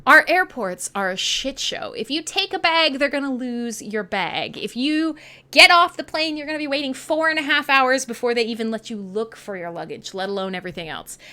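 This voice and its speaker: A woman with a Canadian accent and a very bright, forward voice pitched a little high. She chats casually as a live radio host talking straight to her listeners. Her way of talking is blunt, irreverent and peppered with swearing. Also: very animated, normal speed. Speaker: online radio show host.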